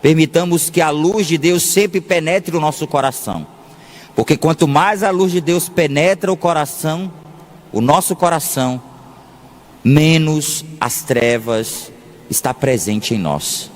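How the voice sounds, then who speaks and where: Slow-paced Brazilian priest in an echoing hall. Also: male voice, fairly high pitch, a little hiss or hum. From Salvador, Bahia, Brazil. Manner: deliberate, pausing often, repeats phrases for emphasis. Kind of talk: sermon. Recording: long echoing reverb of a large hall.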